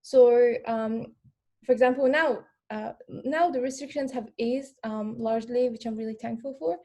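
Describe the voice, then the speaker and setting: A young Afghan woman with English as a second language, giving a personal account in an informal panel talk, halting and repeating words as she goes. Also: on the high side, fairly even intonation, mellow. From Afghan, lived in Iran. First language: not English. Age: young adult. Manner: halting, repeats words, frequent "like" and "you know". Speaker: panel speaker, former refugee.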